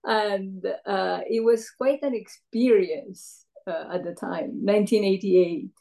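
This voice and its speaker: Older woman from Peru, very slow, soft mellow tone, many pauses and fillers, interview. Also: mid-range voice, vocal fry. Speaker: interviewee, retired professor. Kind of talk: oral history interview.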